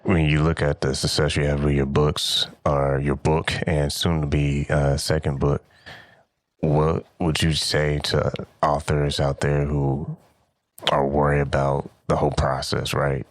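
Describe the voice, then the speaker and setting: A man hosting an interview, asking measured, slightly hesitant questions. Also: extremely low pitch, completely flat delivery, dry recording, studio quality. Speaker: interviewer, show host.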